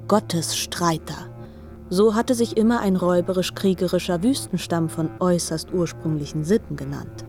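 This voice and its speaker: A woman playing a learned, reflective narrator in a literary radio drama, measured and articulate. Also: lowish voice, darkish tone, faint music behind, lightly acted. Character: learned, reflective female narrator of a biblical epic.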